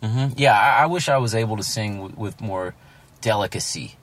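A man hosting a podcast in casual conversation, talking in a halting, rambling way with frequent restarts, recorded in a parked car. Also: fairly low pitch. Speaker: podcast host.

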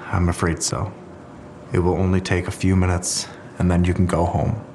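Sober detective for audio drama: low register, procedural, patient questioning. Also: male voice, level tone, moderate pace, a little mellow, a little hiss or hum. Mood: apologetic, firm. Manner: formal, procedural, restrained. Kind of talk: full-cast audio drama acting.

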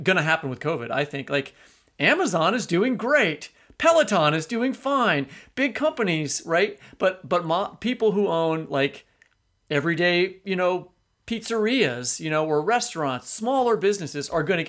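A man with a slightly high voice talks as a podcast co-host in casual discussion. He is informal and halting, feeling his way toward the right words. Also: fairly bright tone.

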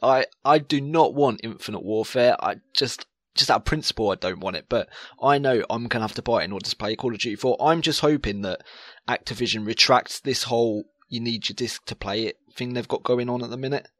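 Male panelist, rapid informal delivery, slightly deep register, opinionated, dry recording. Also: no echo. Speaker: podcast panelist. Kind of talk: casual chat.

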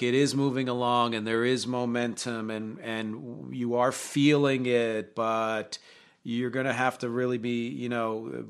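A man's voice: medium pitch, fairly bright tone. Reflective man hosting a music show. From not from Glasgow. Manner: reflective, conversational, poses questions to viewers. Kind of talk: casual music commentary to camera.

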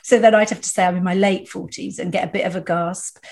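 A woman's voice: on the low side, steady tone, quick pace, vocal fry, darkish tone. A woman in her fifties, a podcast host and stand-up comedian who interviews her guest in a chatty, joking way and tells short stories along the way. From England. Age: 50s. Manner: chatty and jokey, with storytelling asides. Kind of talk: conversational interview.

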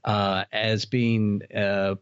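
A middle-aged American man with a low voice chats as an interview guest. He talks in a halting, wandering way, explaining and reminiscing casually. The recording is dry and somewhat muffled. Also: level tone, clear, resonant tone, no room sound. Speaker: podcast guest, author and haunted house operator.

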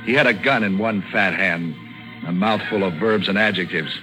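A cynical, hard-boiled detective played by a man in a 1940s radio noir. His low voice delivers wry wisecracks and slangy narration in a deadpan way. Music plays behind the vintage recording. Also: normal speed, close to an everyday voice, low-quality recording. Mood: wry, weary.

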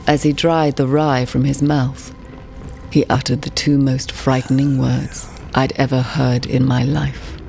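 An actress playing a composed, haunted woman narrating her family past in an audio drama, in measured, literary, portentous phrases. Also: extremely low pitch, flat delivery, vocal fry, darkish tone, exaggerated voice. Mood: ominous. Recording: prominent music bed under voice.

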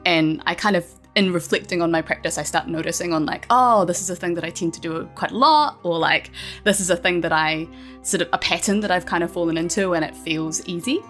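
Fast-talking, lower-pitched reflective woman. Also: fairly bright tone, faint music behind. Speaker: audio fiction writer, podcast guest. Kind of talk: casual reflective discussion.

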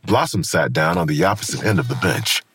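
A man narrating a crime audio drama as a scripted story, with a very husky voice. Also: extremely low pitch, expressive, brisk, fairly bright tone, slight background noise. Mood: measured, observant. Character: male narrator of a noir-tinged crime drama.

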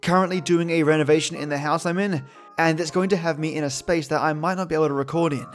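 Male storytelling host, lightly high-pitched, informal sign-off delivery over soft background music. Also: steady tone, quick pace. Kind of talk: casual outro chat to listeners. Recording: music behind the voice.

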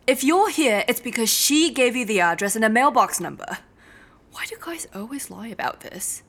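A woman acting a brusque, impatient clerk in an audio drama, curt and sardonic with a deadpan, dismissive delivery. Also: on the high side, moderate pace. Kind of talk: naturalistic fiction podcast acting.

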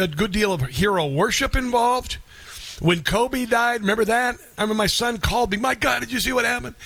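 A man's voice: high-pitched, fairly bright tone, no echo. A male radio talk show host delivering an indignant, scoffing opinion monologue into a studio microphone.

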